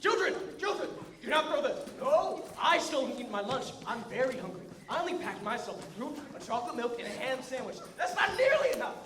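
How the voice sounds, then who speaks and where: A man doing a whiny high-pitched hungry school staffer. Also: big pitch swings, brisk, hardly any pauses. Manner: whiny, rambling, high-strung. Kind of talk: student staged reading. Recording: echoey hall from far off with noise.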